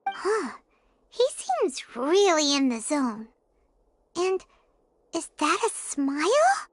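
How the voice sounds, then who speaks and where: Squeaky, excitable little fairy girl. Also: slow, a little hiss or hum, exaggerated voice. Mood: curious. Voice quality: squeaky, childlike. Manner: bubbly, refers to herself by name. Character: tiny chirpy excitable floating fairy girl companion. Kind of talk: video game voice acting.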